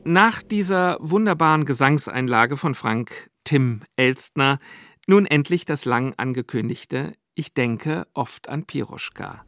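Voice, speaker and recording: A man's voice: medium pitch, animated, slow pace, a bit bright. A man speaking as an announcer, formally introducing a programme.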